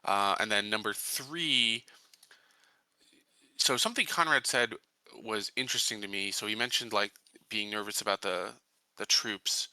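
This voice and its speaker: A man talks through his moves in a roleplaying game, casual and hesitant as he thinks aloud. His voice sits fairly low but is strikingly bright and sharp. The recording is dry and close. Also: unhurried, long pauses, dry recording, poor audio. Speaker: tabletop roleplaying player. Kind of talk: casual game chat, explaining character actions.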